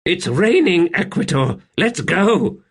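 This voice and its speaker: Brief: a battered, dry-humored soldier, read by a man, voice pushed higher than the reader's own. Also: lively intonation, slow pace, a bit bright, breathy edge, full character voice. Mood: wry. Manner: dry, sardonic, urgent when gasping. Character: weary, wry male soldier, rough and dry-humored. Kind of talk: audiobook character voice.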